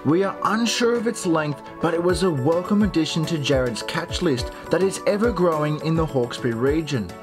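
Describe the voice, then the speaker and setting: An upbeat young Australian man talks casually to camera on an open beach, his voice slightly high. Music plays clearly underneath. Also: lively intonation, brisk, hardly any pauses. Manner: upbeat, chatty. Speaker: fishing vlogger host. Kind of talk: casual outdoor vlog talk and narration.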